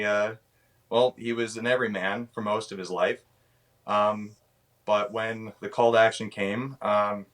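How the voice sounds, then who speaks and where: A man's voice: fairly low pitch, a bit bright. A man in his twenties with a Canadian accent, giving casual interview answers and often searching for his words. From British Columbia, Canada. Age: 20s. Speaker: actor, interview guest.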